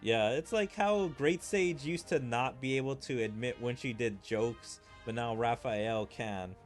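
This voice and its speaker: A man's voice: medium pitch, a little slow, soft background music. A man streaming a game, reading its dialogue aloud in a theatrical way and adding his own commentary. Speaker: gaming streamer.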